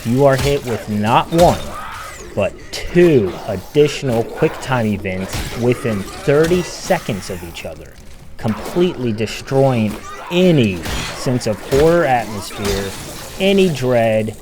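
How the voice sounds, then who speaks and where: A man's voice: mid-range voice, sing-song, slow, noisy background. A man delivering emphatic, opinionated video essay commentary as a game critic.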